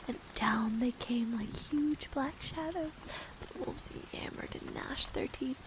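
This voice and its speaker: A woman with a stuffy nose reading a story aloud in a soft, gentle ASMR whisper. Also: high voice, noisy background.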